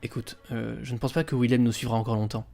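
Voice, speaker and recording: A man's voice: mid-range voice, little pitch movement, fast, gravelly, dark tone, lightly acted. A man playing a young, defensive and distrustful magic user in a French audio drama, speaking in an insistent way. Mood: worried, insistent. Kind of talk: French audio fiction acting.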